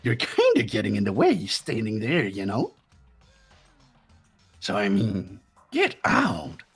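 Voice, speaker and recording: A fan voice actor playing a sly, mocking male bear mascot in a game dub, with a raspy, drawn-out, taunting delivery. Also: medium pitch, huge pitch swings, soft background music, full character voice. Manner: taunting, drawn-out and sing-song.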